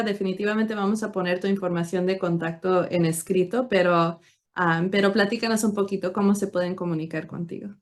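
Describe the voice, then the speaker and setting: A woman hosting a conversational interview, speaking in an encouraging, effusive way. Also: mid-range voice. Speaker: interviewer, show host; attorney.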